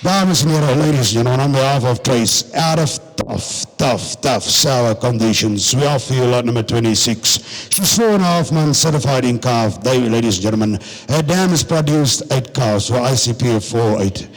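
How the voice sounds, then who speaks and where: A South African man working as a livestock auctioneer, describing cattle lots and then rattling off bids in a rapid chant. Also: medium pitch, big-room sound, low-quality recording. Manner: rattles off bid numbers in a fast chant, switching between English and Afrikaans.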